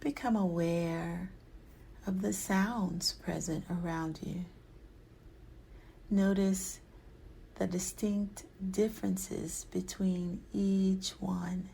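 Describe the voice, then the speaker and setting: A woman guides a meditation very slowly and gently. Her voice is soft and husky, with a creaky edge at times. She is soothing and hushed, often letting phrases fade into a whisper. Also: lowish voice, steady tone, low-quality recording. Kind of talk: guided yoga nidra meditation.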